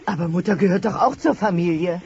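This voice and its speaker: A woman playing a patient, earnest dinosaur wife and mother in a German cartoon comedy dub, gently reasoning. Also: lowish voice, quick pace, background noise, close to an everyday voice. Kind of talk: German-dubbed TV comedy audio play. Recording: dull cassette with music behind.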